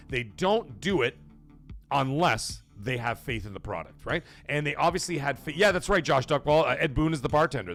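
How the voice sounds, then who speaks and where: A man hosting a casual gaming live stream, rambling excitedly with fillers and restarts, greeting chat viewers and swearing casually. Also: fairly high pitch. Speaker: livestream podcast host.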